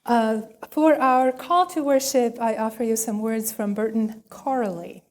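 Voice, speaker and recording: A woman minister speaking in a measured, deliberate way as she leads a worship service and reads liturgy. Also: fairly high pitch, a little mellow. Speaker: minister leading a church service. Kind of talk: sermon and liturgical reading.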